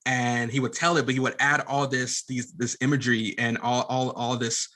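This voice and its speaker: A man's voice: medium pitch, little pitch movement, moderate pace, sharp tone. A man talking as a podcast guest in a casual storytelling style, earnest and rambling a little. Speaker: podcast guest, filmmaker and former pastor.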